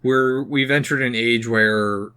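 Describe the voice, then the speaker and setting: A man with a medium-pitched voice talks casually as the co-host of a podcast. His delivery is conversational and unscripted, as in a discussion with a fellow host. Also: steady tone, slow pace, pushed and bright, clean tone. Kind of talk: casual chat.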